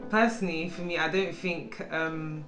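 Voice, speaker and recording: A woman's voice: low voice, steady tone, moderate pace, reverberant, over loud music. A woman chatting casually with a friend as they react to a video, thinking aloud and hesitant. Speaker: panel viewer. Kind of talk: casual chat.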